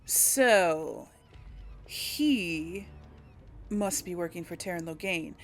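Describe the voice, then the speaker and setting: A woman's voice: lowish voice, expressive, extremely slow, soft background music. A woman giving casual commentary as she role-plays through a video game, thinking aloud hesitantly. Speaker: video game streamer role-playing for an audience.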